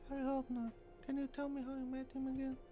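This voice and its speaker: A woman's voice: high voice, completely flat delivery, brisk, mellow, faint music behind, natural voice. A woman playing a blunt, weary female squad leader in a visual novel. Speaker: reader of a game character's lines. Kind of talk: acted reading of visual novel dialogue.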